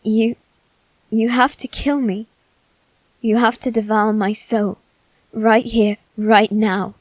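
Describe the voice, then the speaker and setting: Woman doing a posh-born teen boy, clingy and wounded, asmr roleplay, flat tone, close dry sound, long pauses. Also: fairly high pitch, no room sound, low-quality recording. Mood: resigned, tense. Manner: slow, halting, measured delivery with long pauses. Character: wounded, possessive teenage boy aristocrat bound by demon contract. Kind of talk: ASMR character roleplay, scripted drama.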